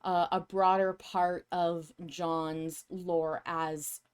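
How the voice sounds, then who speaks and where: A woman's voice: fairly low pitch, little pitch movement, extremely slow, clean tone. A woman co-hosting a podcast, talking casually and animatedly as a fan. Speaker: podcast co-host.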